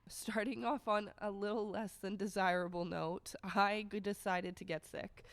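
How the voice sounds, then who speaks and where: Woman, raspy sick voice, gravelly, casual podcast chat, easygoing. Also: medium pitch, fairly even intonation, moderate pace. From Canada. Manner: laughs often, easygoing. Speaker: podcast co-host.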